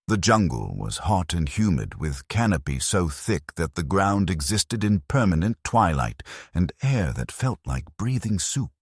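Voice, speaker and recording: A man narrating a dramatic scripted first-person war story as a grim, war-weary soldier, in a grave, measured, deliberate delivery. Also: low-pitched, breathy edge, no echo, studio quality. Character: grim war-weary penal legion soldier recounting battles. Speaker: narrator of a fan lore channel.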